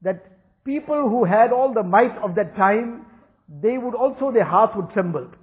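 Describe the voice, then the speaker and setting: A man's voice: high-pitched, expressive, moderate pace. A man whose first language is Urdu, giving an earnest religious talk and repeating phrases for emphasis. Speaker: religious teacher. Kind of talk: Islamic talk. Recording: tinny phone-like recording.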